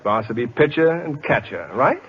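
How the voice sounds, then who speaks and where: An actor playing an earnest, bookish chemistry professor in a 1940s radio style, with precise, formal diction. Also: male voice, mid-range voice, animated, normal speed, fairly bright tone, slightly rough. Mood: brisk, kindly. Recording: dull old radio broadcast with music.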